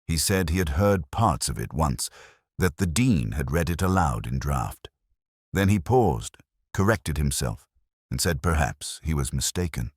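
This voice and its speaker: A man reading a scripted first-person detective story in a measured, brooding, literary manner. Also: extremely low pitch, animated, slightly rough, no room sound, clean studio sound. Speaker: narrator.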